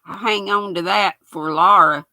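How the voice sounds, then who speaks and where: An older woman with a raspy, gravelly voice talks to her livestream viewers at an unhurried pace. She chats in a folksy way and greets people by name. Her voice is close to the mic and dry. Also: mid-range voice, pushed and bright, no room sound. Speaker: knitting livestream host. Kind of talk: casual live chat while sewing.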